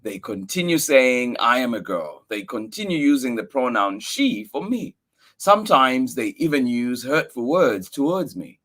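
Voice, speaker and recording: Man reading expressively with very lively pitch. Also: measured pace. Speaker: storyteller reading a picture book aloud. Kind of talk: expressive read-aloud for children.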